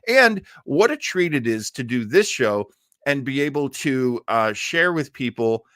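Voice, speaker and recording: A man with a mid-pitched, very animated voice hosts a casual livestream. He is chatty and enthusiastic, wandering as he talks and stopping to hunt for the right word. The sound is dry, with little room echo. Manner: rambling, halting, searching for words. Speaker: host of an old time radio show livestream.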